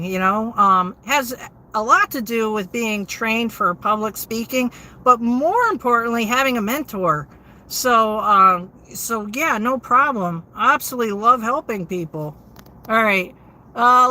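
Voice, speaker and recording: A woman, hosting a casual livestream and reading viewer comments in a steady, low-inflection way with frequent ums. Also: a little slow. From New England. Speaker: livestream host.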